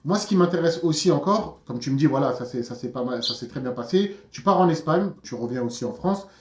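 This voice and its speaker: A man hosting a casual interview, quick and chatty with many fillers and restarts. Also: fairly high pitch, expressive, roomy sound. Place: outdoors in a neighbourhood. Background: friends chanting nearby.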